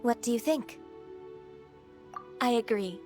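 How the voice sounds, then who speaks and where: A woman voicing a gentle, reserved young half-human immortal in a fantasy game, polite and earnest. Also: on the high side, slow pace, soft background music. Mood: earnest, composed. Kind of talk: scripted game dialogue.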